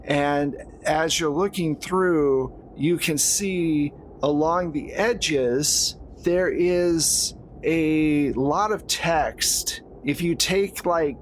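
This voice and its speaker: A man's voice: fairly high pitch, very slow. A man narrating a horror tabletop roleplaying game as game master, in a conversational way with casual asides. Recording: clear background noise.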